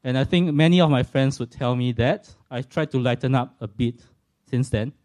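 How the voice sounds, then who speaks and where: Mellow-voiced Singaporean man giving a testimony. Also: medium pitch, moderate pace. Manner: earnest and reflective, with light self-deprecating humour. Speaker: speaker giving a personal testimony. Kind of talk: personal faith testimony to a church audience.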